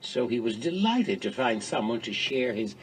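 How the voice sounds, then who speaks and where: A man playing a wry, teasing ancient Greek philosopher in a measured dramatised dialogue reading. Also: medium pitch, very animated, a little hiss or hum, lightly acted, low-quality recording. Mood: knowing, teasing.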